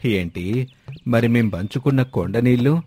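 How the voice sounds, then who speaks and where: A man's voice: mid-range voice, a little slow, mellow, breathy edge. A man voicing a gullible, easily amazed village sidekick who exclaims in rustic colloquial speech in a moral story.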